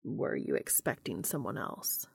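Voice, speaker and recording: A woman voicing a calm, steady male angel who speaks low, hushed and measured, softly insistent. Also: creaky, slightly rough, heavily acted.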